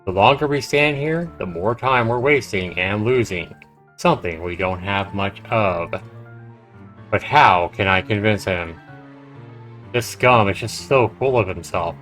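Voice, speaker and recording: A man's voice: fairly low pitch, measured pace, fairly bright tone. A man livestreaming a game, reading its narration aloud dramatically with casual, profane asides. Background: faint game music.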